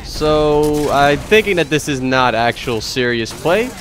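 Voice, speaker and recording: A man's voice: on the high side, measured pace. A man casting a live esports match, talking through plays in a loose, rambling way with lots of fillers. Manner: relaxed, rambling play-by-play with many fillers. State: voice getting raspy. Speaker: esports caster. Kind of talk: live game commentary.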